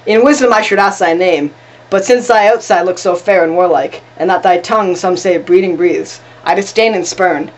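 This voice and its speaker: A woman's voice: fairly low pitch, moderate pace, natural voice. A woman playing a proud, defiant young male noble in amateur Shakespeare, formal and declamatory, recorded from a distance with noise. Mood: challenging. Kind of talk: amateur Shakespearean stage acting.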